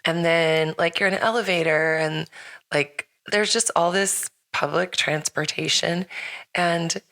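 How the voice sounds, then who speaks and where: A middle-aged woman hosting a podcast in casual chat, her voice a bit raspy after being sick, rambling with fillers and restarts. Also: on the low side, fairly even intonation, unhurried, a bit bright. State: slightly raspy from recent illness. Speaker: podcast host.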